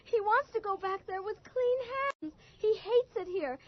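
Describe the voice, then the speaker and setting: A woman's voice: very high voice, lively intonation, measured pace. A woman playing a desperate, vengeful teenage girl pleading intensely in a radio horror drama. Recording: muffled early 1980s radio broadcast.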